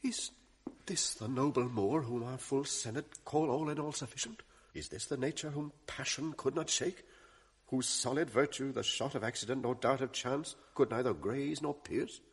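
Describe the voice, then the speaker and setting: A raspy, courtly envoy. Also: male voice, mid-range voice, normal speed. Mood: dismayed. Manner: formal and courtly, growing dismayed. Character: dignified, shocked male Venetian envoy. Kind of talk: 1980s radio drama acting.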